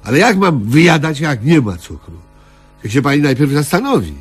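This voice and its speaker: A man's voice: fairly high pitch, very animated, normal speed, breathy edge, soft background music. An actor playing an idle, obtuse, stubbornly argumentative man in a comedic radio play, with a blunt, ponderous, mock-innocent way of talking. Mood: indignant.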